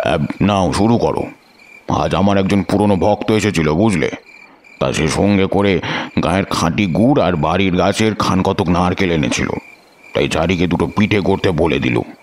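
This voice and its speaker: An actor playing an aging tantric storyteller who muses slowly, in old-fashioned phrasing, about his past. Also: deep voice, slight background noise, lightly acted. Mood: coaxing. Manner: slow, musing, old-fashioned speech. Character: aging male tantric storyteller, weary and reflective. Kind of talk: audio drama narration and dialogue.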